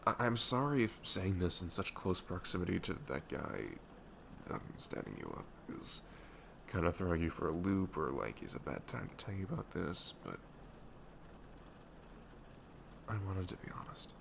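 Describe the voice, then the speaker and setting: Guy acting as nervous sweet best friend in asmr roleplay, lowish creaky voice, stammers softly, some background noise. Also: very animated, normal speed, full character voice. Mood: nervous, earnest. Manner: hesitant, stammering, soft-spoken. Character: tender, nervous male best friend confessing love. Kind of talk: intimate ASMR audio roleplay.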